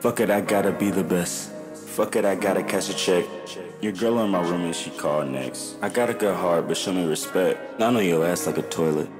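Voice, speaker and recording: Male rapper, melodic flow, beat loud under the vocal. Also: sits low, moderate pace, music in the background. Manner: rhythmic melodic rap delivery. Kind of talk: rap vocals on a hip hop track.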